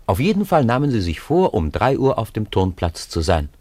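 A man narrating a radio play as a calm storyteller, in a measured, literary manner. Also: lowish voice, no room sound. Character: calm male storyteller of a school tale.

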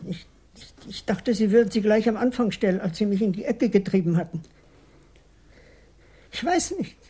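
Mellow, nervous informant played by a woman. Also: mid-range voice, normal speed, breathy edge, natural voice. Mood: nervous, unsure. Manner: hesitant, repeats words defensively. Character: nervous, evasive small-time criminal turned police informant. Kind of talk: German radio crime drama acting.

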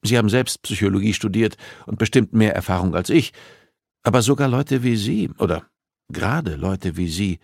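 A man voicing a female police psychologist who is polite, persistent and gently probing. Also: on the low side, lively intonation, normal speed, gravelly, no echo, clean studio sound. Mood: concerned, probing.

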